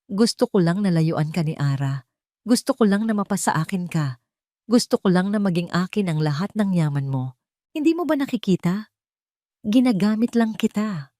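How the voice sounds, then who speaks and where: A woman's voice: mid-range voice, lively intonation, moderate pace, dark tone, no room sound, studio quality, pitched above the performer's own voice, close to an everyday voice. A woman voicing a scheming, gold-digging young mistress in an audio love drama, taunting and boastful. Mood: cold confession.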